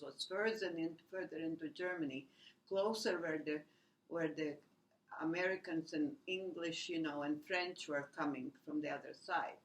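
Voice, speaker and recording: A woman, recalling her life in a halting oral history interview, full of restarts and fillers. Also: deep voice, gravelly, rough recording. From Latvia. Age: 80s. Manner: halting, many restarts and "you know" fillers. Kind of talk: rambling personal recollection.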